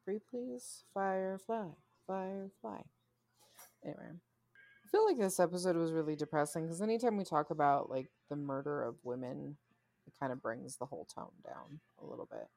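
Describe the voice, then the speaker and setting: A woman's voice: sits low, a little fast, darkish tone, dry recording. A woman co-hosting a podcast in casual chat, blunt and free with her swearing. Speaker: podcast co-host.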